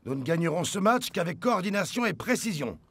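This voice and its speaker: Cartoon football coach: formal, demanding, lively pitch swings, raised register. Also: male voice, a little fast, a little hiss or hum, exaggerated voice. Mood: stern briefing. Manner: formal, instructing, emphatic. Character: earnest, demanding male football team coach. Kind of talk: French cartoon dub acting.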